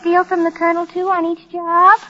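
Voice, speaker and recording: A woman's voice: extremely high pitch, completely flat delivery, a little fast, pushed and bright. A woman playing a dreamy, dutiful young wife with a sweet, naive manner in an old radio drama. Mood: anxious, curious. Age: young adult. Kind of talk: 1940s-50s radio drama acting. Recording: old dull lo-fi radio recording.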